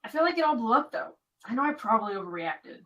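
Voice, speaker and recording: A woman's voice: on the high side, very animated, fast, sharp tone, far from the mic, muffled recording. A woman playing an earnest, conflicted young American woman in an audio drama, confiding in natural dialogue. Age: young adult.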